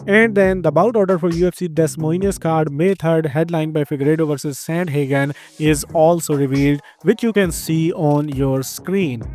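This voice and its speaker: A man's voice: on the high side, darkish tone. Indian-accented man hosting fight news. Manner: brisk, opinionated, playful asides. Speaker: MMA news host. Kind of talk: scripted news narration.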